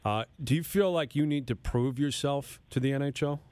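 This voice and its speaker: A man's voice: medium pitch, normal speed, darkish tone, smooth, dry recording. A man hosting sports radio, asking questions in a conversational broadcast style. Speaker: sports radio host, interviewer. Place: radio studio.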